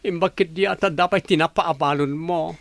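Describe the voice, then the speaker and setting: A woman's voice: lowish voice, normal speed, no echo, natural voice. Woman acting a blunt, teasing Ilocano workmate. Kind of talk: Ilocano radio-style drama acting.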